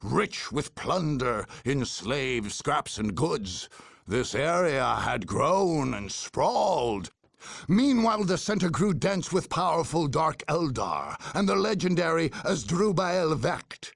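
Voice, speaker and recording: An actor playing a grave male chronicler, narrating a grim war saga for a video game in a solemn, theatrical, gravelly, husky voice. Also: on the high side, fairly bright tone, dry recording, heavily acted. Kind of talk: dramatic scripted game narration. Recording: dry studio voice over music.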